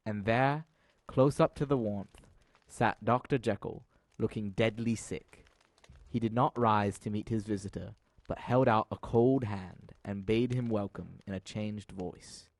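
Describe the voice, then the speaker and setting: A man's voice: lowish voice, a little slow, no echo. A man narrating an audio drama as a scripted story, with a formal, literary delivery.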